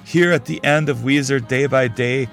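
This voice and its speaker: A man's voice: medium pitch, normal speed, a bit bright, soft background music. A man hosting a podcast, giving frank, conversational opinions in a casual solo talk while losing his voice. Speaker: podcast host.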